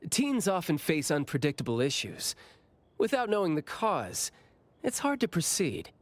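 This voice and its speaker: An actor playing a playful, teasing senior bureau officer in an anime game, with drawn-out, theatrical delivery. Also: medium pitch, huge pitch swings, dry recording. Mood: confident, easygoing.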